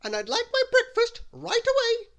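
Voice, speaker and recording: A woman's voice: very high voice, sing-song, normal speed, vocal fry, fairly bright tone, voice pushed up, full character voice. A woman voicing a bossy, domineering little female storybook character who shrieks and barks orders. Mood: shouting, bossy.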